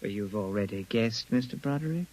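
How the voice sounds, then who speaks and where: Husky, theatrical Martian villain. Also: male voice, lowish voice, expressive, fairly quick, a little mellow, low-quality recording. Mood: gloating menace. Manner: menacing, formal, theatrical. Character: cold, gloating male Martian villain posing as son. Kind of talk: 1950s radio drama acting.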